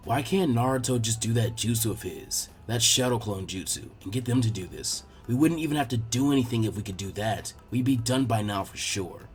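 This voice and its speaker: A man's voice: mid-range voice, lively intonation, brisk, few pauses, soft background music, voice pushed down, lightly acted. A man voicing a lazy, bored teenage boy ninja, languid and reluctant. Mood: grumbling.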